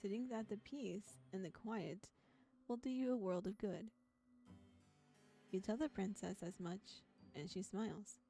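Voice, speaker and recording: A woman's voice: medium pitch, measured pace, gravelly, warm tone. A woman streaming a visual novel, moving between reading aloud and casual commentary in a chatty, giggly manner. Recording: background music behind voice. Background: music.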